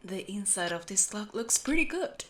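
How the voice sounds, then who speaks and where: A woman playing a meticulous, secretive steampunk clock repairer in an audio roleplay, explaining things patiently and in a measured way to the listener. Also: mid-range voice, big pitch swings, fairly bright tone, a little hiss or hum. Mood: patient, focused. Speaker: amateur voice actor.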